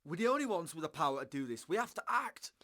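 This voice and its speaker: A man's voice: a little high, very animated, fast, bright, forceful tone, no room sound, studio quality. An actor playing an outraged, idealistic man in his forties in an audio drama, pleading and indignant. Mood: impassioned pleading. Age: 40s. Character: outraged, idealistic man of forty-three, a whistleblower.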